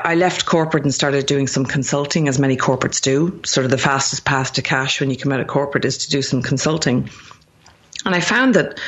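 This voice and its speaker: Chatty Irish woman, muffled sound. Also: extremely low pitch. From Ireland. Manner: chatty, restarts sentences often. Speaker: guest, company founder. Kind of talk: podcast interview.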